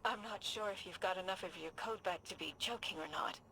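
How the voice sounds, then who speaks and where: A woman's voice: medium pitch, fairly quick, heavy background noise, close to an everyday voice. Confident, wry woman acting a soldier. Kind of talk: scripted video game dialogue.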